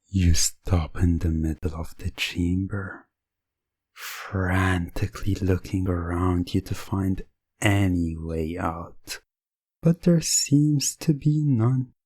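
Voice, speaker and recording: A man narrating a scripted second-person ASMR roleplay in a deliberate, suspenseful way, breaking sentences into short phrases. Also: low-pitched, darkish tone, husky. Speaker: hypnosis audio narrator.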